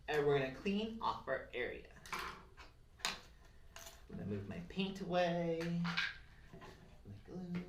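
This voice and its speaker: A craft presenter giving simple, encouraging step-by-step instructions to children. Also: medium pitch. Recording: roomy, distant mic, faint noise and clicks.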